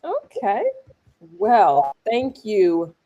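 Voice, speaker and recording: A woman hosts an interview, asking her questions in a deliberate and gracious way. She speaks slowly, with a warm, mellow tone. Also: medium pitch, huge pitch swings, dull audio. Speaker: podcast host. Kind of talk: casual interview.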